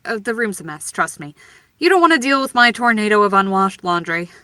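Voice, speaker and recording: A woman's voice: medium pitch, very animated, fairly quick, sharp tone, no echo. A woman playing a flippant, theatrical young writer with a playful, sardonic manner in an audio drama. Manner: sardonic, playful, mock-grandiose.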